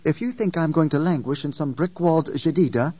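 A man's voice: fairly high pitch, fairly quick, warm tone, slightly rough, dry recording, voice pushed up. A man voicing an anxious adult woman who pleads earnestly as a devoted guardian in an audiobook. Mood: pleading distress. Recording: dull, distant, dry sound.

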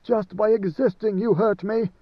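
A man's voice: high-pitched, fairly even intonation, normal speed, no echo, voice pushed up. A man voicing an ancient, tormented God Emperor who speaks with anguish and command. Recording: dry, dull lo-fi sound.

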